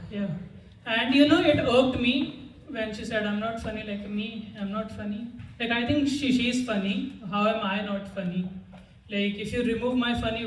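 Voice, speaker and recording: A young man from India doing a stand-up set with a stammer and awkward, self-deprecating delivery. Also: sits high. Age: young adult. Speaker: stand-up comedian. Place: comedy club stage with audience. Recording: roomy, distant mic with noise.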